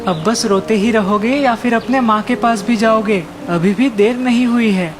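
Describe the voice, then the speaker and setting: A woman voicing a gentle, wise young magical deer in a children's cartoon, earnest and gently chiding. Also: a little high, moderate pace, music in the background, close to an everyday voice. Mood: reassuring. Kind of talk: Hindi children's cartoon dialogue.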